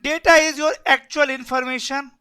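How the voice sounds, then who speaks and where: Bright-voiced man teaching networking. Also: very high-pitched, fairly even intonation, normal speed. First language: Hindi. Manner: repetitive, keeps asking "yes or no?". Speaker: networking instructor. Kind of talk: live online class lecture.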